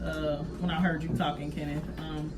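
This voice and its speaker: A woman's voice: deep voice, flat delivery, normal speed. A woman in casual podcast conversation, speaking emphatically and repeating her points for weight. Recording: echoey room, distant mic, faint noise.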